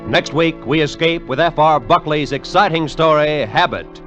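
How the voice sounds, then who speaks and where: A man announcing formally in a measured, scripted 1940s radio style. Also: a little high, a bit bright. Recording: dull old 1940s radio recording with music.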